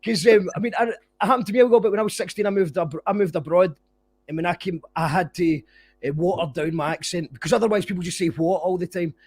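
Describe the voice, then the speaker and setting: A man's voice: sits high, fast, a little mellow, slightly rough, no echo. A Scottish man hosting a podcast, chatting casually and rambling with restarts. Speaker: podcast host. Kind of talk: casual interview chat.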